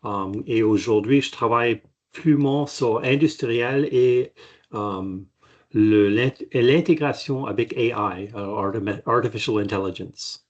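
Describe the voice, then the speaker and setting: A man, a native French speaker, answering technical questions on a webinar in a halting way with many restarts. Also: medium pitch, darkish tone, muffled recording. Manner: halting, frequent restarts and fillers. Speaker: company chairman, guest expert. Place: video call.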